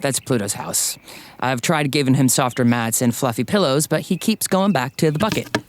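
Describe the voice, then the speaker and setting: A man playing a considerate, sensible friend who reasons gently in an audio drama. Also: mid-range voice, moderate pace, close to an everyday voice. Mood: quiet, kindly. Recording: clear noise behind the voice.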